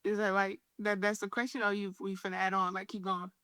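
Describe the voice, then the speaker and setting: A woman chats quickly over a phone call, her questions curious and a little guarded. Her voice sits at a middle pitch. The sound is dry, with no room echo. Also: little pitch movement, pushed and bright, smooth. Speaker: caller on the phone. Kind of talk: casual phone chat.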